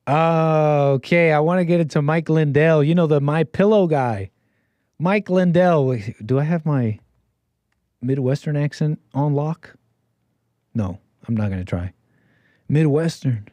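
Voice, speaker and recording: A man's voice: on the high side, a little slow, darkish tone, dry recording. An American man hosting a call-in show, rambling casually with lots of restarts and laughs.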